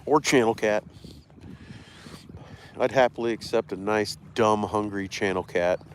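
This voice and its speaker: A man's voice: lowish voice, a little slow, slight background noise. A man chatting casually on a fishing live stream in a rambling, self-deprecating way, sounding slightly congested from allergies. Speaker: fishing livestreamer. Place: outdoors beside a reservoir.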